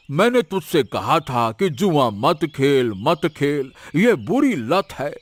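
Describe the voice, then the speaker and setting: An old village uncle played by a man in an audio story. The voice sits a little high for a man. The character is weary, scolding and short on sympathy, advising with exclamations. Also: dry recording. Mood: reproachful. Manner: admonishing, exclamatory.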